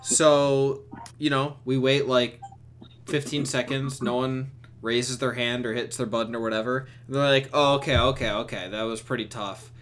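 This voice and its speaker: A young man chatting and telling stories casually on a voice chat, in an animated, exasperated, sweary way. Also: medium pitch, moderate pace. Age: young adult. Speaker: streamer on a group voice chat. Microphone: computer mic on a voice chat. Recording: noisy voice chat with clicks.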